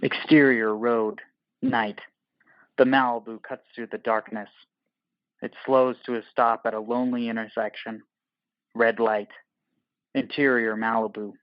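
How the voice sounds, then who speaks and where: A man's voice: mid-range voice, a little slow, long pauses, dry recording, highs cut off. A man narrating an audio play from a script in terse, dramatic, clipped phrases.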